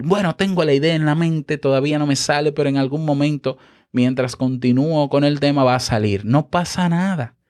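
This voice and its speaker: A man from the Dominican Republic with a Dominican Spanish accent, hosting a podcast and teaching speaking tips in a casual, conversational way, often checking in with a quick "¿ya?". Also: medium pitch. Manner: conversational, tag questions like "¿ya?". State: a bit out of breath. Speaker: podcast host. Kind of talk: instructional podcast monologue.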